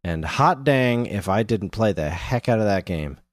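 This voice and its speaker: Podcast co-host: American man, deep voice, casual enthusiastic chat, very dry sound. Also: animated, unhurried, no echo. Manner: enthusiastic, informal slang.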